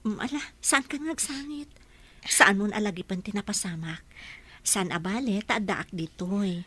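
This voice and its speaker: An actress playing a gentle, concerned young woman, a big-sister figure, in a radio drama. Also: medium pitch, measured pace, slightly rough.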